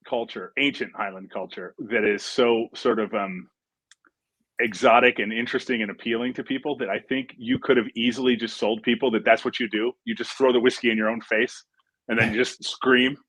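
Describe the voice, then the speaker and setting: A man hosting a casual livestream, chatty and relaxed, with frequent fillers. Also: medium pitch, normal speed, no room sound, low-quality recording. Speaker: film director hosting a livestream.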